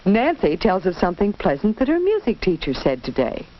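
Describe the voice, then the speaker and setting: A woman's voice: mid-range voice, wildly swooping pitch, a little fast, dull audio. A woman narrating a 1940s educational film as a simple story for children, speaking directly to the listener in an instructive way. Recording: band-limited 1940s film soundtrack, background noise.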